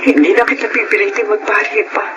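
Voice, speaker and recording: A woman's voice: medium pitch, steady tone, quick pace, background noise, natural voice. A middle-aged Filipino actress playing an anguished, remorseful mother in an emotional, theatrical radio drama style. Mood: tender, contrite. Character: anguished, remorseful mother confessing her fault. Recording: music bed under radio drama voice.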